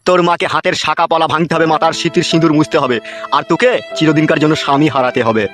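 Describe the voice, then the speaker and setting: A man's voice: on the high side, fairly even intonation, fast talker, over music, natural voice. A man doing a leering village thug. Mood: threatening. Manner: taunting with frequent sneering laughs. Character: leering male village thug, menacing, lecherous. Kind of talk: amateur cartoon voice acting.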